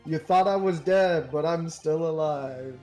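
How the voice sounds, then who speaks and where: A man's voice: a little high, a little slow, a little mellow, soft background music, lightly acted. A man voicing a grave, formal courtroom judge in an amateur video game dub. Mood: stately. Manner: stately, formal.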